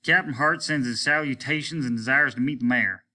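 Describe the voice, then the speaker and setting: A man reading a story and voicing an irritable, weary, aging rocket captain who is commanding and scornful, snapping out indignant questions in a sharp voice. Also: medium pitch, a little fast, voice pushed up. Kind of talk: audiobook character voice.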